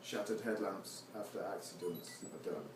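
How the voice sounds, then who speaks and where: A man's voice: sits low, moderate pace. A man giving a live poetry reading with informal introductions, speaking with wry, self-deprecating humour. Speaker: poet reading own poems. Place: live reading venue with seated audience. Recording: echoey, noisy room from far off.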